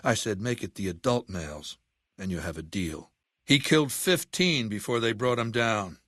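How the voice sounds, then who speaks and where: Casting: ruthless game warlord, lowish pitch, dry close sound, deliberate, condescending command. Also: male voice, expressive, a bit bright, no echo. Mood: matter-of-fact recounting. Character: ruthless, imperious warlord dictator commanding a legion. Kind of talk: video game character voice acting.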